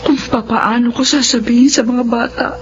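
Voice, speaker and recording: A woman's voice: on the high side, steady tone, normal speed, slight background noise, lightly acted. A woman playing a tearful heartbroken mother. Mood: worried. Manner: emotional, tearful pleading. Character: anguished mother and wife ending her marriage. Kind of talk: radio drama acting. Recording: dull lo-fi radio broadcast with music.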